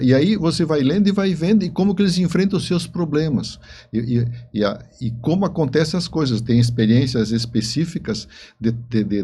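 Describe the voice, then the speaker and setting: A man's voice: fairly high pitch, warm tone, a little hiss or hum. A man speaking as an interview guest, explaining his books in an emphatic, repetitive conversational way. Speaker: guest author.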